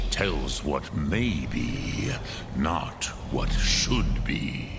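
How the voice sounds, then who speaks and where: A man voicing an ancient, wise male dragon in a fantasy video game, speaking patiently and deliberately with a weighty, gravelly voice and philosophical manner. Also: extremely low pitch, heavy background noise, full character voice. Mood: pensive, probing. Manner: slow, deliberate, ponderous, pausing between phrases.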